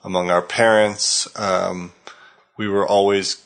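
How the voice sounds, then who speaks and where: A man's voice: low voice, little pitch movement, slow, fairly bright tone. An American man from California hosting a podcast in casual conversation, talking with many hesitations and restarts. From California, United States. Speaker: podcast host.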